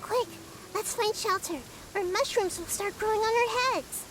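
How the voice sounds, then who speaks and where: A woman's voice: very high-pitched, normal speed. A woman voicing a tiny floating girl companion in a video game, bubbly and exclamatory. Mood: eager. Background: game music.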